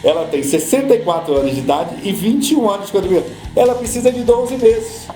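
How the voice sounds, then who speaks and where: A man's voice: sits high, fast, roomy sound, soft background music. Patient man explaining pension rules. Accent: Brazilian Portuguese. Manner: patient, repeats points, calms listener. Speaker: social security law guest.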